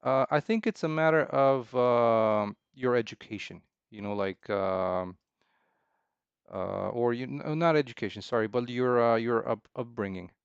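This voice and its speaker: A man chatting casually and unscripted as he answers questions, rambling haltingly and circling back over his phrases. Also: mid-range voice, creaky, smooth, no echo, lo-fi sound. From Romania. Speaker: game developer streaming.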